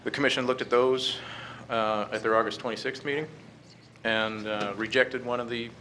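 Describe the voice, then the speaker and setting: A middle-aged American man giving a halting, measured formal briefing at a podium microphone. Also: mid-range voice, fairly even intonation, pushed and bright. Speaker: presenter to a city council. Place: council chamber, standing at a podium. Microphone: podium gooseneck microphone. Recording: clearly noisy meeting-room recording.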